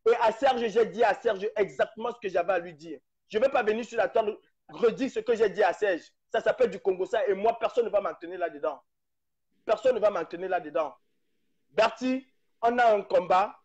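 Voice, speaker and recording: Man, very high pitch, fervent political talk, repeats himself for emphasis; muffled live stream sound. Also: brisk, a little mellow, slightly rough. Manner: emphatic, repetitive, rhetorical questions. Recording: dull lo-fi live stream audio.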